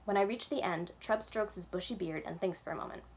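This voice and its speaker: A woman reads a children's book aloud in the manner of a library storyteller. Her voice sits at an average pitch for a woman as she delivers the scripted narration. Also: a little fast.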